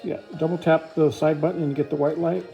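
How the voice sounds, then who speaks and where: A man's voice: a little high, fairly even intonation, quick pace, gravelly, muffled recording, soft background music. A man giving a casual, informal product review, explaining features plainly step by step.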